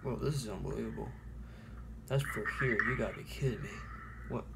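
A young man in a small bedroom, talking quietly and in alarm into a webcam mic from a distance. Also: fairly low pitch, a little fast, gravelly, very noisy. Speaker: video maker. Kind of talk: improvised roleplay scene. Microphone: webcam at desk, from a distance.

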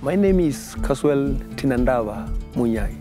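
A South African man in his thirties, an ant researcher giving a halting documentary interview outdoors in a forest on a lapel mic. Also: mid-range voice, expressive, over music. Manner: halting, with fillers.

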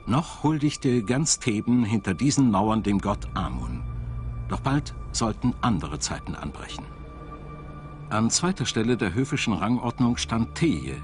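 A man reading scripted documentary narration in a measured storytelling manner. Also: lowish voice. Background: background music.

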